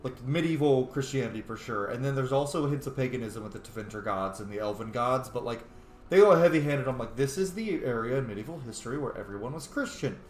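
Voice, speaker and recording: Animated man commentating in an echoey room. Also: mid-range voice, fast. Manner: expressive, informal, swearing. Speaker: gamer, video host. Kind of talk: casual playthrough commentary with roleplayed replies. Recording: echoey room, faint background noise.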